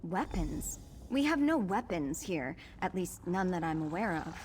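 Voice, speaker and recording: An actress voicing a composed, artistic young sister in a fantasy video game, with formal, measured phrasing. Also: female voice, lowish voice, very animated, a little mellow, in a large hall, slight background noise. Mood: curious, formal.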